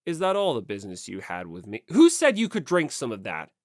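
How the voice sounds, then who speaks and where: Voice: medium pitch, huge pitch swings, fairly quick, background noise, pitched below the performer's own voice. An audiobook reader voicing a haughty, imperious teenage heir, curt and condescending. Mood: commanding.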